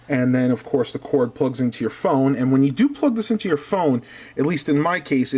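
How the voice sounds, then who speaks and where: A man's voice: medium pitch, darkish tone. A man hosting an online video, casually reviewing a product and explaining it step by step to viewers in a chatty way. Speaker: YouTube channel host.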